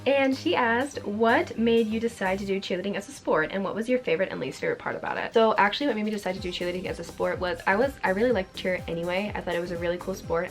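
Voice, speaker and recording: Young woman vlogger, fast and chatty, kinda low gravelly voice, says like a lot. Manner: chatty, frequent "like" fillers, occasional swearing. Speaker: YouTuber answering fan questions. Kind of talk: casual Q&A vlog.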